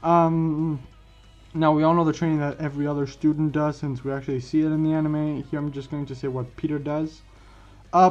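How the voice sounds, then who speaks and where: Higher-voiced man, halting storyteller over music. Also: darkish tone. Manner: halting, repeats and restarts phrases. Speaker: host of a fan what-if story series. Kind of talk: informal scripted fan-fiction storytelling. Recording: dull lo-fi mic, music behind. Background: background music.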